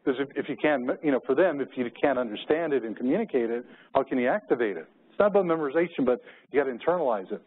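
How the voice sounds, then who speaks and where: A man's voice: mid-range voice, expressive, racing, few pauses, husky. A middle-aged American man giving a conference keynote, telling personal anecdotes as a storyteller. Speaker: keynote speaker. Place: conference room with windows. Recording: muffled, phone-like narrow-band audio.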